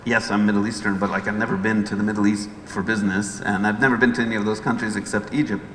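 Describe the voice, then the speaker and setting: A man's voice: fairly low pitch, flat delivery, quick pace, a bit bright. A middle-aged man with an American accent speaking conversationally as a guest at a talk, recounting his own career. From Los Angeles, United States. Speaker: guest speaker, chief executive. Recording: echoey hall with background noise.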